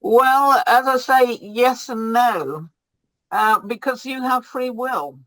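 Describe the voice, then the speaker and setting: Slow, hesitant woman astrologer on a video call. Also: a little high, a bit bright, no echo, low-quality recording. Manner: hesitant, with many ums and restarts. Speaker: guest astrologer. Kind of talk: answering questions in a video-call talk.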